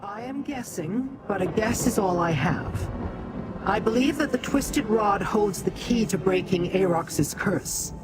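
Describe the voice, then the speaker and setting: A woman playing a solemn mystic guide in a fantasy video game, measured and formal, with music behind. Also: mid-range voice, animated, close to an everyday voice, low-quality recording. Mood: grave, urgent. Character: solemn, urgent mortal-addressing mystic guide and schemer.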